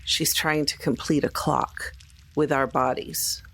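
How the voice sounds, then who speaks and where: A woman's voice: low-pitched, steady tone, slow pace, a bit bright. A woman playing a tabletop roleplaying game, improvising her talk in character.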